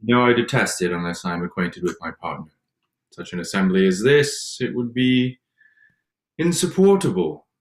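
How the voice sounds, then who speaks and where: Man playing a haughty gentleman, low voice, echoey room. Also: lively intonation, moderate pace. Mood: disdainful. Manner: disdainful, stiff, formal. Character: haughty, surly, wealthy young gentleman. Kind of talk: theatrical staged reading of a period play.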